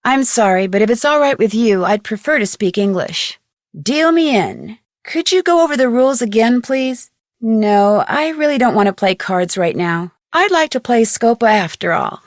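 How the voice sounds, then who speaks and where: An actress voices a young American woman sleuth in a video game. Her voice is a touch high, with a polite, direct delivery and an American accent. The sound is close and free of room echo. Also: animated, normal speed, lightly acted. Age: young adult. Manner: polite, straightforward. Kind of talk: clean video game dialogue.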